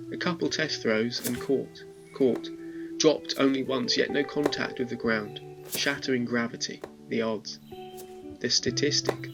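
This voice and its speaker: A man reading a spoken word poem in a measured, reflective way. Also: mid-range voice, dull audio. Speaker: poetry reader. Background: soft guitar music.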